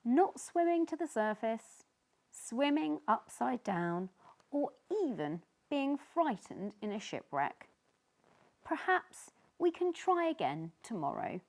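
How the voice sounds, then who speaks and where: A woman's voice: on the high side, very animated, slow, pitched above the performer's own voice, full character voice. A woman voicing an eager, helpful little fish friend with an encouraging, coaxing manner in a storybook. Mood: resigned. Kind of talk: children's storybook character voice.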